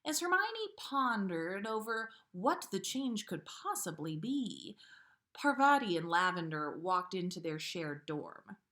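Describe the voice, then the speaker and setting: A woman with a mid-pitched voice hosts a story livestream. Her delivery is informal and expressive, suited both to casual chat and to reading fiction aloud to listeners. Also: slow. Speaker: podcast host reading listener stories.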